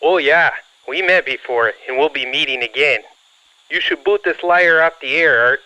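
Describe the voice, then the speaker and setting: An actor playing a smug, folksy, faintly menacing heckler, calling a radio show. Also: male voice, on the high side, moderate pace, bright, forceful tone. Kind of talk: acted radio call-in. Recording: muffled caller line.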